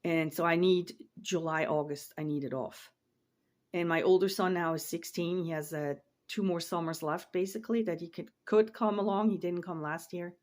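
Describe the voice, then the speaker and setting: A woman's voice: fairly low pitch, fairly even intonation, moderate pace, darkish tone. A woman in her forties from Switzerland, chatting casually to camera in her home office, rambling and repeating words as she trails off. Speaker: online reseller and vlogger.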